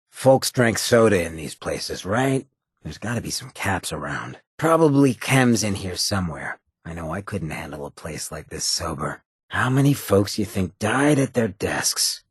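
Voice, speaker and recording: An actor voicing a sardonic, streetwise ghoul town boss for a video game, with a gravelly rasp and a sly, slangy delivery. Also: male voice, fairly low pitch, big pitch swings, measured pace, dry recording, clean studio sound, full character voice. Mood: sardonic musing. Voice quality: gravelly, raspy. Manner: sly, laid-back, slangy. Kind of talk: video game companion voice lines.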